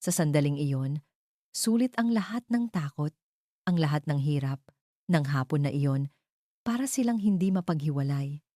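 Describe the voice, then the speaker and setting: A woman narrating a dramatic scripted love story in measured, short phrases. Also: low-pitched, warm tone, dry recording, studio quality.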